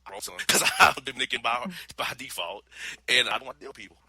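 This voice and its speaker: A man's voice: medium pitch, quick pace, pushed and bright. A man hosting a radio show, chatting casually in a loose, rambling way with laughing asides.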